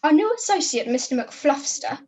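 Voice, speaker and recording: Pedantic badger puppet, woman acting, high, animated pitch, precise, prim, amateur play. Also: moderate pace. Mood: prim, irritated. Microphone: video call. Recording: muffled video call audio.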